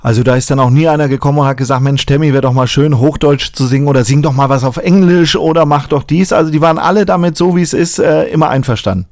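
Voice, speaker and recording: Chatty male interviewer, slightly high voice. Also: fast talker, hardly any pauses, a little mellow, no echo, studio quality. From North Sea coast, northern Germany. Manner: easygoing, chatty, with hesitation fillers. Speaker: interviewer, host. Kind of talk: casual interview questions.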